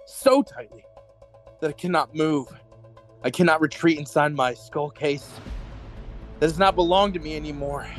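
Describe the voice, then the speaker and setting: A man's voice: mid-range voice, natural voice. A man playing a broken, terrified political prisoner under torture in a radio drama, anguished and repeating himself. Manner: anguished, pleading, repetitive.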